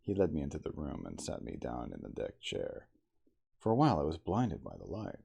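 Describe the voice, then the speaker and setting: A man reading a classic novel aloud as ASMR, in a soft, intimate, slow dramatic storytelling manner. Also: rumbling low, wildly swooping pitch, gravelly, slightly rough. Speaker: audiobook reader in character as the narrator.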